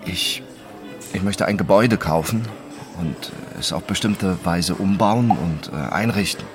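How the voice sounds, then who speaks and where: An actor playing an introspective, obsessive man who narrates his own story in a radio drama, deliberate in his delivery. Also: low-pitched, animated, music in the background. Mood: halting, intent.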